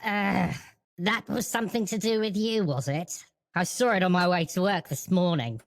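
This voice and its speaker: A wary magical mentor played by a woman in a tabletop roleplay, speaking in a fairly low register. The manner is brisk and scolding, always urging caution. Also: close to an everyday voice. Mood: guarded, surprised. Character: wary adult woman teacher, secretly a magical king. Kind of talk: improvised tabletop roleplay in character.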